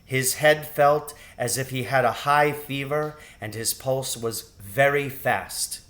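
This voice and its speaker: Guy narrating a kids audiobook, really unhurried, middle pitched voice. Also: fairly bright tone. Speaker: audiobook reader. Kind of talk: scripted storybook narration.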